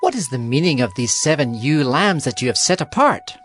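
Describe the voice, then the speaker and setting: An earnest ancient ruler in a scripture drama. He is formal and insistent, with lively swings of pitch over background music. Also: a little fast. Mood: protesting, questioning. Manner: formal, emphatic. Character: Abimelech, foreign male ruler, earnest and insistent.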